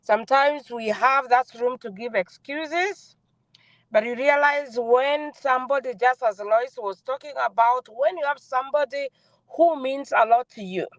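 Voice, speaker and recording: Higher-voiced Kenyan woman, chatting casually. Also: a little slow, no room sound, low-quality recording. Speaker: participant in a group online meeting. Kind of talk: casual introduction and chat. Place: inside a parked car. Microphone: phone.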